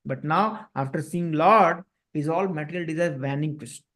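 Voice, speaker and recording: A man's voice: on the high side, normal speed, a bit bright. A man with an Indian accent teaching a devotional story for children in a didactic manner, often checking in with short tag questions. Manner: didactic, frequent check-in tags. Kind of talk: children's scripture story lesson.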